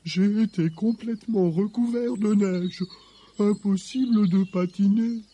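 A man's voice: high voice, unhurried, dark tone, breathy edge. A man voicing a boastful, clumsy but cheerful lion king in a children's story. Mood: sheepish.

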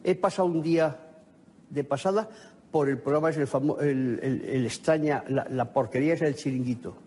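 A man ranting indignantly in a halting, unscripted way. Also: on the high side, a little mellow, breathy edge. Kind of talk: unscripted rant. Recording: echoey hall with faint noise.

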